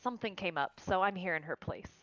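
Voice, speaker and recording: A woman's voice: fairly low pitch, lively intonation, fast talker, a bit bright, no echo, muffled recording, soft background music. A woman voicing a modest servant in a game, deferential and a little hesitant. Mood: meek, apologetic. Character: polite, modest servant standing in for another.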